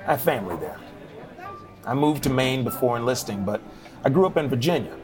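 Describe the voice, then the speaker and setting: A man's voice: medium pitch, expressive, brisk, lightly acted. A man acting a friendly, courteous Civil War era bartender in a period drama, polite and inquisitive, with music underneath. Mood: earnest.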